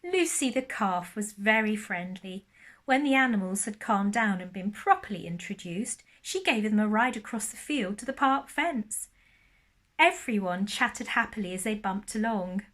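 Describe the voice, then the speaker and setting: Female storyteller, mid-pitched, expressive primary teacher reading a bedtime tale to young children. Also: moderate pace.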